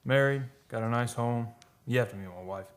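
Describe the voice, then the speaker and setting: A man's voice: medium pitch, fairly quick, lightly acted. A man playing a folksy, middle-aged retired oil-field worker, telling his story conversationally in a radio play monologue. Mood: chatty.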